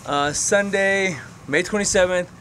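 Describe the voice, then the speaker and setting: A man in his late twenties talks straight to his camera out on open ground, rambling and frank, with some casual swearing. His voice sits a little high for a man. A faint outdoor hum runs under the camera mic. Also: measured pace, fairly bright tone. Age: 20s. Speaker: vlogger. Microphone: camera mic, close framing.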